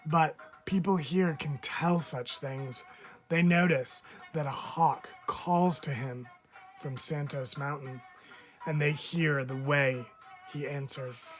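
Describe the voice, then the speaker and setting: A man reading a picture book aloud as a teacher would, expressively, with deliberate dramatic phrasing and frequent pauses. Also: fairly high pitch, fairly even intonation. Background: background music.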